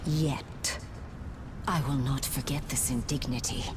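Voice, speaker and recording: A woman's voice: extremely low pitch, fairly even intonation, a little slow, background noise. A woman playing a cruel, grandiose demon priestess in a video game, with formal, commanding, theatrical speech. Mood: haughty, menacing. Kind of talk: dramatic video game voice acting.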